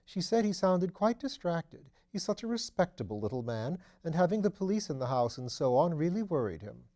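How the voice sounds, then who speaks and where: A man's voice: a little high, sing-song, a little fast, mellow, pitched above the performer's own voice. A man voicing an older dowager duchess in an audiobook, genteel, chatty and kindly fussing. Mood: gossipy, genteel. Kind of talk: audiobook character dialogue.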